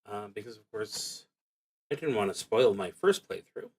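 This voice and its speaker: A man hosting a solo tabletop roleplaying stream, talking casually and haltingly as he thinks aloud, with long pauses. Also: on the low side, animated, breathy edge.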